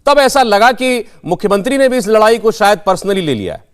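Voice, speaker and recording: This is a man moderating a live television news debate in a high-pitched voice. He asks pointed questions and cuts in to direct who speaks next. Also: animated, fairly quick, smooth. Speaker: TV debate host.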